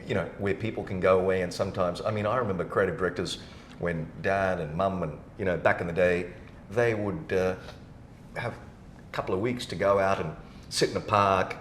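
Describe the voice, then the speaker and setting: A man's voice: low-pitched, fairly even intonation, brisk, a bit bright, a little hiss or hum. A middle-aged man hosting a podcast in casual conversation, recorded in a roomy space. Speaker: podcast host.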